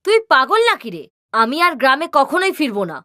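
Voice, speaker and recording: A high-pitched young cartoon big sister, acted by a woman, brash and vain. Her pitch jumps around as she bosses and brushes people off in slangy speech, in a dry, close recording. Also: very animated, fairly quick, bright, forceful tone, dry recording, full character voice. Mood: scornful, boastful. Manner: dismissive, bossy, slangy with English words. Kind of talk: cartoon character dialogue.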